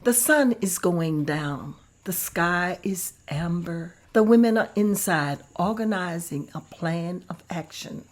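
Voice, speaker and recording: A woman reading stage directions aloud at a table read in a slow, measured way. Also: lowish voice, lively intonation.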